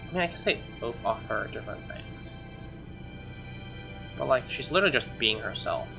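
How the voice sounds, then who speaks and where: A man's voice: mid-range voice, lively intonation, fairly quick, vocal fry. Man reading aloud over music, dull mic. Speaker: let's player reading a game aloud. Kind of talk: reading visual novel narration aloud with casual asides. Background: game music.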